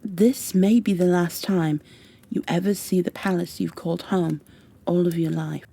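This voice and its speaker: A woman playing a soft, breathy, stern elf warrior. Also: on the low side, fairly even intonation, measured pace, full character voice. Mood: gentle, earnest. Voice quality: soft, breathy, often dropping toward a whisper. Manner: formal archaic phrasing, commanding, clipped impatience. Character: stern, brisk high elf warrior woman rescuing a princess. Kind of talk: ASMR roleplay, scripted in-character monologue.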